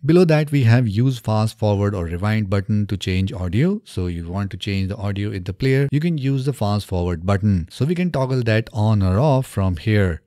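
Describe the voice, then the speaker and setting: Low-pitched, lively male tutorial presenter. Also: normal speed, hardly any pauses, no room sound, studio quality. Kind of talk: step-by-step screen tutorial narration. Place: small indoor home studio. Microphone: close studio microphone on boom arm.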